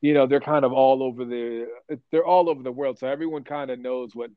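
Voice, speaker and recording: A man being interviewed about his work as a voice actor, telling stories in a rambling, animated way with lots of restarts. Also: medium pitch, brisk, no echo, phone-quality sound. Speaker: voice actor guest. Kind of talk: casual interview chat.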